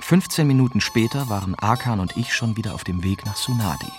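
A man's voice: on the low side, lively intonation, a little fast, natural voice. A man playing a young journalist who narrates his own adventure in a scripted radio drama. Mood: measured. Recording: music behind the voice.

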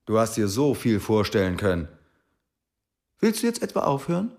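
A man's voice: on the low side, wildly swooping pitch, normal speed, darkish tone. An actor playing a defensive, evasive man in a radio drama, brusque and insistent in his denials.

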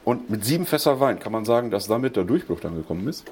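A man's voice: fairly low pitch, very animated, fast talker, darkish tone, a little hiss or hum. A middle-aged man hosting a radio interview, asking questions formally and politely, with hesitant fillers. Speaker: radio host.

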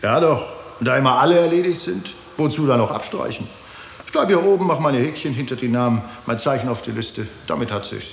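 A jaded male clerk in an old radio drama, offhand and indifferent. The voice sits at a middle pitch in an echoey room, with slight noise behind it. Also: lively intonation, fairly quick, vocal fry. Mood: offhand, cynical. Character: bored, cynical male office clerk of a sinister agency. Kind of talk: 1960s German radio drama acting.

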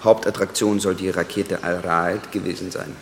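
A businesslike secret agent in a radio play. His low voice rings in a reverberant room, with clear ambient noise behind it. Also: flat delivery, moderate pace. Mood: businesslike, brisk. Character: businesslike male journalist and intelligence agent handler. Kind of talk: radio drama acting.

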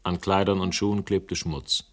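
An actor playing a world-weary, laconic first-person narrator in a radio crime drama, with dry irony. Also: male voice, sits low, fairly even intonation, normal speed, lightly acted. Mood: reflective, dry. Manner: laconic, literary, dryly ironic. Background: music.

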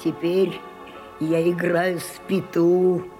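An older woman playing a proud, weary old French stage actress in a radio drama, declaiming broodingly and dropping into breathy whispers. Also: fairly low pitch, flat delivery, slow, mellow, soft background music. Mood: weary, musing. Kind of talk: theatrical radio drama acting.

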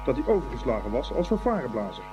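A man's voice: mid-range voice, very animated, fast, dull audio, noisy background. A man narrating a scripted story as the narrator of a radio play. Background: music.

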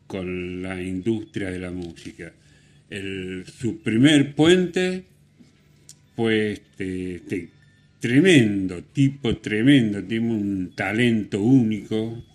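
A man hosting radio, telling music stories casually in a halting, rambling, conversational way. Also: fairly low pitch, a little mellow. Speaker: radio host.

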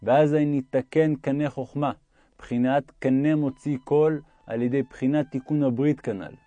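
Mellow-voiced man reading religious text, roomy echo. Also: mid-range voice, steady tone.

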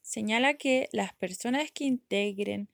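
Higher-pitched Chilean woman presenting an explainer. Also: measured pace, vocal fry, dry recording, studio quality. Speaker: podcast presenter. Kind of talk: scripted informational explainer.

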